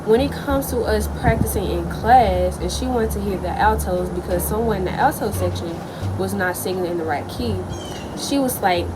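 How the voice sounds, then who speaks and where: A woman's voice: mid-range voice, moderate pace, loud music behind the voice, low-quality recording. Chatty young woman telling a story. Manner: chatty, animated, lots of likes. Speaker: vlogger. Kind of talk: casual storytime.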